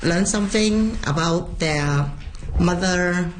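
A woman's voice: on the low side, takes it slowly, noisy background. A woman, a native Cantonese speaker, answering interview questions as a kindergarten language teacher.

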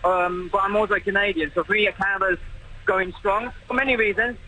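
A British man managing a bar, talking by phone in a live news interview, excited and rambling with many restarts. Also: high voice, fairly quick. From Britain. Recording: phone line with noisy crowd behind. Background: crowded bar noise.

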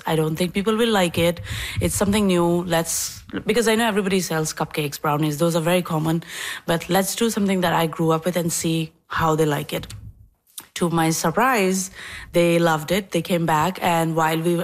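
A woman from Bangladesh in her thirties chats in an interview at her home kitchen. Her voice sits a little low, and she tells her story with animation, acting out what people said to her. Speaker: dessert business owner, guest. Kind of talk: casual interview.

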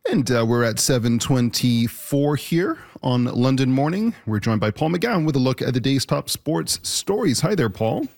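A man's voice: mid-range voice, expressive, moderate pace, creaky, few pauses, a little mellow. A man hosting a morning radio show, chatty and easygoing as he hands over to guests. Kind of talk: casual radio banter.

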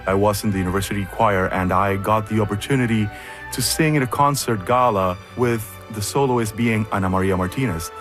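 A man's voice: lowish voice, a little fast, a bit bright, soft background music. A man from Puerto Rico, an opera competition finalist, earnestly recalling what inspired him. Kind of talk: recorded radio interview clip.

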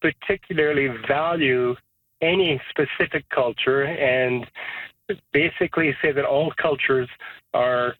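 A man's voice: mid-range voice, measured pace, no room sound. Man calling in over a tinny phone line. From Canada. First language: Serbo-Croatian. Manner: reflective, thinks aloud with restarts. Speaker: radio caller. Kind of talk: casual phone-in chat.

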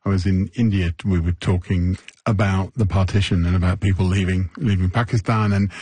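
A man's voice: deep voice, steady tone, a little fast, a little mellow, slightly rough, dry recording. A man, hosting a conversational interview.

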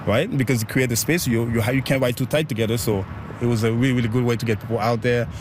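A man's voice: mid-range voice, fairly even intonation. Upbeat man from Haiti, faint traffic behind. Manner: upbeat, laughs often, quick bursts. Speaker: bike ride organizer. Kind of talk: casual interview.

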